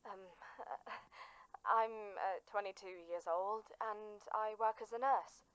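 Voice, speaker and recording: A woman playing a shy, gentle young nurse who stammers hesitantly as a caller in a radio drama. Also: mid-range voice, steady tone, slow, clear, resonant tone, no echo, natural voice, low-quality recording. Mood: nervous. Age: 20s. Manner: hesitant, stammering, soft-hearted.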